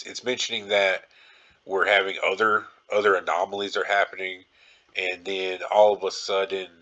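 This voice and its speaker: A man's voice: low-pitched, bright, forceful tone, muffled recording. A man hosting a podcast solo in a casual, rambling style, informal and circling back to repeat his phrases. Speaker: podcast host.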